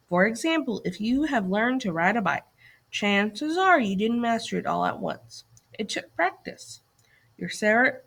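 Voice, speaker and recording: A woman's voice: mid-range voice, vocal fry, a bit bright. A woman reading a scripted educational lesson aloud to children as a teacher, putting questions and directions to young listeners.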